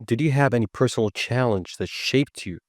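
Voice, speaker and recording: A man hosting a podcast, asking guests short questions in a relaxed way. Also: medium pitch, fairly quick, dry recording, clean studio sound. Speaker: podcast host. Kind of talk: interview questions.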